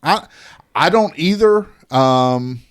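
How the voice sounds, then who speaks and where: A man's voice: fairly high pitch, lively intonation, slow, clean tone. A man from the Southern United States co-hosting a podcast in casual chat, rambling and restarting his sentences, often teasing his co-host.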